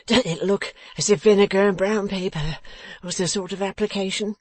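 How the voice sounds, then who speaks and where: A pathetic, battered young gentleman from a Victorian storybook, played by a woman narrator. The voice is pitched up and strained, swinging widely as it whines and groans in drawn-out, self-pitying complaint. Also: very animated, breathy edge, no echo, heavily acted. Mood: pleading, suffering. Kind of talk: audiobook character voice from a Victorian novel.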